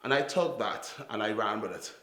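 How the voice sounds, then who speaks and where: A man in his forties from Trinidad, with a Trinidadian accent softened by years in New York, earnestly telling his life story and often restarting his phrases. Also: lowish voice. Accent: Trinidadian with New York influence. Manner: earnest, halting, with repeated restarts. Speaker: youth theatre founder and director. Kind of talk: reflective personal storytelling to camera. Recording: echoey room.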